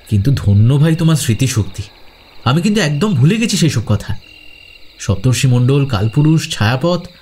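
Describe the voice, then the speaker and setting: An actor playing an uneasy, admiring schoolboy sidekick in an adventure audio drama, with an anxious, questioning manner. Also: male voice, a little high, dark tone, a little hiss or hum, natural voice. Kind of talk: Bengali audio drama acting.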